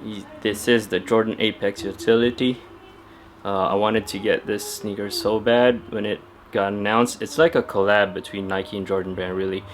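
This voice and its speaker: Flat-voiced, low-pitched young man vlogging. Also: normal speed, slight background noise. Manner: laid-back, chatty, with frequent "uh" fillers. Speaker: sneaker vlogger. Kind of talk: casual talk to camera. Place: at home indoors.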